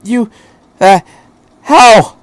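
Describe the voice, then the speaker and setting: A man voicing an overwhelmed, incredulous teenage boy pilot in a story reading, stammering in shrill disbelief. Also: very slow, no echo. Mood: shocked, incredulous.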